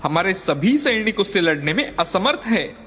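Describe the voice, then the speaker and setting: A man's voice: sits high, sing-song, moderate pace, pushed and bright, husky, heavily acted. Reverberant man acting an alarmed cartoon palace soldier. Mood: alarmed report. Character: deferential, alarmed royal soldier reporting to his king. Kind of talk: animated story dialogue. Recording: long hall reverb, faint music.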